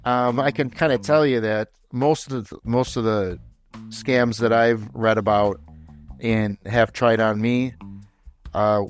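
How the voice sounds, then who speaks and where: A man hosting a podcast, giving informal, conversational commentary and reading some material aloud. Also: fairly low pitch. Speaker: podcast host. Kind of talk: casual podcast commentary on scam stories, partly read aloud.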